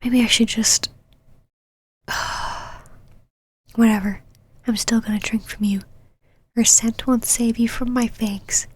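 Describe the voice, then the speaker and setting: Breathy, slow-whispering possessive vampire knight played by a woman. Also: a little high, fairly even intonation, no echo. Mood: irritated, commanding. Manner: slow, teasing, commanding. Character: possessive, teasing, domineering female vampire knight. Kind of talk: ASMR roleplay.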